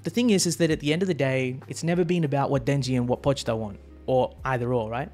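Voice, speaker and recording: A man in his thirties streaming a manga reaction, rambling and swearing casually into a close boom mic. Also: quick pace, darkish tone, faint music behind. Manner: rambling, swearing, laughing often. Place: home streaming room.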